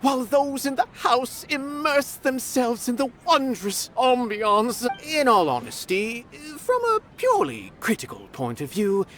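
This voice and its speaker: An actor playing a middle-aged, worldly art connoisseur in a video game, speaking in a measured, formal and lofty way. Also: male voice, very high-pitched, big pitch swings, fairly bright tone, full character voice. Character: worldly male art connoisseur, grave and refined. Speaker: former stage performer turned art critic. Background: game music.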